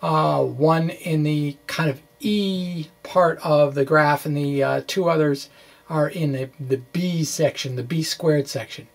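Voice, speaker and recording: A man presenting physics in an informal lecture style, with halting delivery, false starts and folksy, playful phrasing. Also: on the high side, fairly even intonation, creaky. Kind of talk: informal lecture walkthrough of equations.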